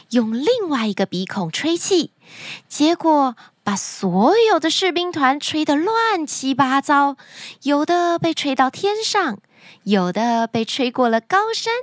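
A woman narrating children's stories as a podcast host, expressive and speaking directly to young listeners. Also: high-pitched, no room sound, clean studio sound. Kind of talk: animated storytelling narration for children.

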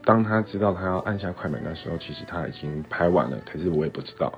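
A man's voice: low voice, fast talker, faint music behind. A man rambling casually in a recorded message, on a dull lo-fi recording. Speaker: photographer's model leaving a recorded message.

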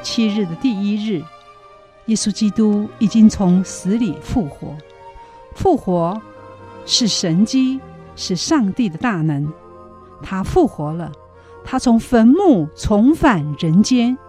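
A woman narrates a religious radio drama with solemn reverence. Her voice is mellow and very slow, with sweeping intonation. She sounds like a formal announcer reading a dramatic script. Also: medium pitch, animated, soft background music.

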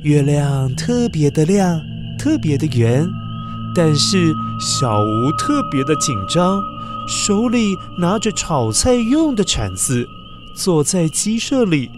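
Animated, high-pitched male children's storyteller, Taiwanese Mandarin. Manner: dramatic, addresses young listeners with questions. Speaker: children's story podcast narrator. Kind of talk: expressive scripted storytelling for children.

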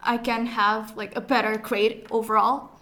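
A young woman from Indonesia co-hosting a podcast as a student, relaxed and peppered with fillers. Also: a little high, moderate pace. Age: young adult. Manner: relaxed, uses lots of "like". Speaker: student co-host. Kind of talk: casual podcast chat.

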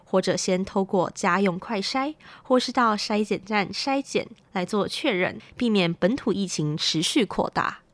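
A woman's voice: medium pitch, animated, unhurried, few pauses, a little hiss or hum. A woman reading a scripted news item as presenter.

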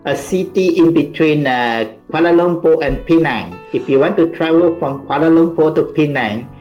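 A middle-aged man from Malaysia with a Malaysian English accent, guesting in an interview and speaking haltingly with many fillers. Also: a little high, a little mellow, highs cut off, faint music behind. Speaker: university department chair, guest. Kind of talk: interview conversation.

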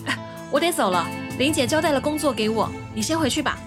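An actress playing a chatty, excitable young woman in an audio drama, bubbly and informal. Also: sits high, fast, over music. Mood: flustered, casual. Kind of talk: Mandarin audio drama acting.

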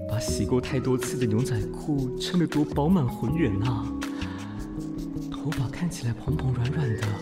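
A man's voice: medium pitch, close to an everyday voice. Man acting a melodramatic, fussy city snob, wildly swooping. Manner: melodramatic, sarcastic, swinging from muttering to shrieking. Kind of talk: radio drama acting with inner monologue.

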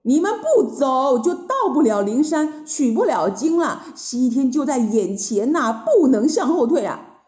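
A woman as bold high-voiced monkey hero. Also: sing-song, warm tone, echoey room, highs cut off, exaggerated voice. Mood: exasperated urging. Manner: brisk, exclamatory, urging. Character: bold, impatient male monkey hero urging companions on.

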